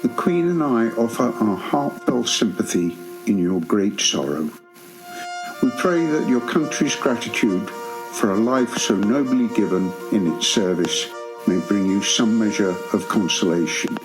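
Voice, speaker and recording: A man reading formal condolence letters as a solemn British wartime dignitary, in a measured manner. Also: lowish voice, animated, music in the background, rough recording. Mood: solemn, sympathetic. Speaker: voice reader of letters.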